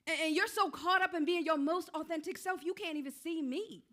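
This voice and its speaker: A proud young woman, hurt and angry, in a stage drama, acted by a woman. Her voice sits high, and she talks in a colloquial, confrontational way. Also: fairly quick, fairly bright tone. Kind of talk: staged reading of a play.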